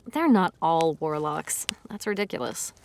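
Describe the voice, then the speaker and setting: An actress playing an earnest young female army captain in an audio drama, with a crisp, military way of speaking. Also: deep voice, animated, unhurried, a little mellow, slight background noise, full character voice. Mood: gossiping. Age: young adult. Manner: crisp, deferential military address.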